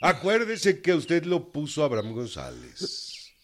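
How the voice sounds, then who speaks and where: An actor playing a commanding, defiant, folksy Mexican revolutionary general in a radio drama. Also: male voice, a little high, wildly swooping pitch, a little slow, fairly bright tone, full character voice. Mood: stern.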